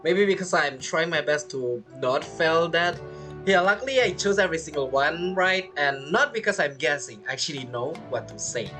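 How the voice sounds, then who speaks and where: A woman with a slightly low, very lively voice chats casually through a game playthrough. She reacts aloud as she goes, with music playing clearly behind her. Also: moderate pace. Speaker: gamer commenting on gameplay.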